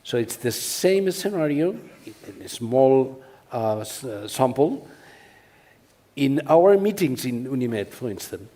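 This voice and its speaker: A man speaking on a forum panel in a measured, explanatory way. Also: mid-range voice, big pitch swings, warm tone. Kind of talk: panel discussion.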